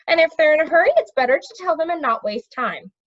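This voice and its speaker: A woman's voice: sits high, expressive, fast, muffled recording, voice pushed up. A woman voicing an eager, blunt young boy prince who speaks up insistently, in a storybook reading. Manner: insistent, outspoken.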